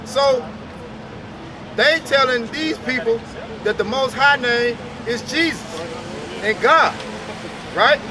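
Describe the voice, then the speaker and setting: A man's voice: extremely high pitch, slow pace, long pauses. A man street preaching, firing off rhetorical questions and urging his listeners. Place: outdoors on a city street. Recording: outdoor street recording with traffic noise.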